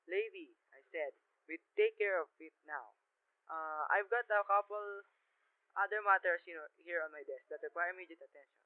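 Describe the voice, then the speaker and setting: A man's voice: high voice, moderate pace, no room sound, close to an everyday voice. A teenage boy acting in a radio play as a dismissive police desk sergeant who placates and talks down to his caller. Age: teens, ninth-grade student. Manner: placating, condescending, brushing off worries. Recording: muffled, lo-fi amateur radio play sound.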